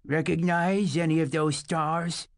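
A man voicing a wise, weary old man with a gravelly voice in an audiobook. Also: on the high side, fairly even intonation, unhurried, no room sound, voice pushed up. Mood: curious. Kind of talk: audiobook character voice.

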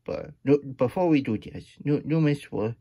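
A man voicing an excitable, childlike hedgehog plush who reads a picture book and adds chatty asides in broken, third-person grammar, husky and breathy. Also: mid-range voice, normal speed, no echo, highs cut off. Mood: excited, rambling. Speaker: storytime host. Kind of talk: character read-aloud with chatty asides. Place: small room indoors at a table.

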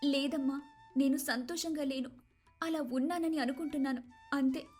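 A woman plays a rich, proud young wife with a very high, dramatic voice. She is sorrowful and repentant, in moral-story style over faint music. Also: fairly even intonation, moderate pace, a little mellow, lightly acted. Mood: sorrowful, brooding.

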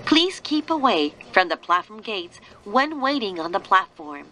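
A woman reading scripted train announcements in a formal, measured, carefully enunciated manner. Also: medium pitch, sing-song, pushed and bright, rough recording. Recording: train announcement with faint vehicle noise. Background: moving train noise.

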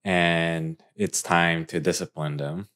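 A man's voice: low voice, steady tone, slow, fairly bright tone. A young man co-hosting a podcast in a small room, asking long rambling questions full of fillers into a microphone on a stand. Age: young adult. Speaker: podcast co-host. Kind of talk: casual chat. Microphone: boom mic on stand.

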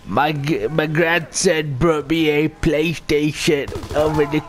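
A man voicing an eighty-one-year-old pensioner who talks in slow, halting, stammering bursts over a game chat. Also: fairly high pitch, fairly even intonation. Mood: doddering. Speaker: gamer pranking on voice chat.

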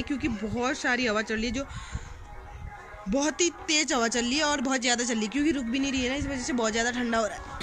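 A woman's voice: fairly high pitch, fairly bright tone. Young woman vlogging on a rooftop. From hills of northern India. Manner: chatty, homely, addresses viewers as friends. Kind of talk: casual vlog talk to camera. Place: rooftop outdoors in hill town. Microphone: phone camera at arm's length.

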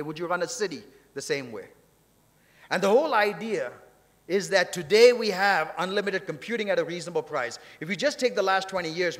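A man addresses a conference from a podium. His voice sits a little high, and the big hall rings around it. He talks conversationally but leans on his points, repeating phrases to drive them home. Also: fairly bright tone. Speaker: session chair and speaker at a technology summit. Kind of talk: conference speech. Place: large indoor conference hall, on stage. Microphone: podium gooseneck microphones. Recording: reverberant hall sound through podium microphone.

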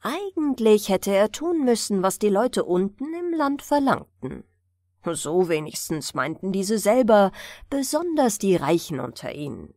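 A woman reading fairy tales as an expressive bedtime audiobook narrator. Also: mid-range voice, dry recording, clean studio sound.

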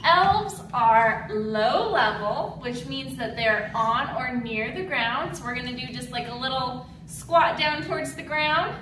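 A woman in her thirties teaching a dance lesson to children, with an animated, encouraging, clearly enunciated delivery. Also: high-pitched, a little slow, hardly any pauses, noisy background. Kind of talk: instructional video lesson for children. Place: large mirrored dance studio indoors.

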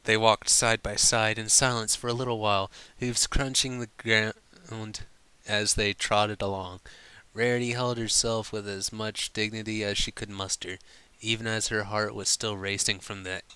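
A man reading fan fiction aloud as a storybook narrator, with some halting stumbles over the lines. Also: on the low side, little pitch movement, sharp tone, clear, resonant tone, no room sound.

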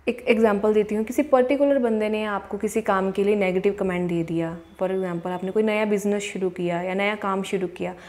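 A young woman who works as a law of attraction coach, giving a motivational talk to camera in an earnest way and slowly repeating affirmations. She speaks indoors into a lapel mic. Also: mid-range voice, fairly even intonation, darkish tone. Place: indoors, in front of a plain wall. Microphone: clip-on lapel mic.

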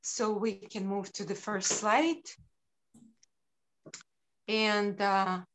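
A middle-aged woman from Ukraine presenting her sculptures over a video call, unhurried and halting with frequent ums. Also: medium pitch, fairly even intonation, a bit bright, slight background noise.

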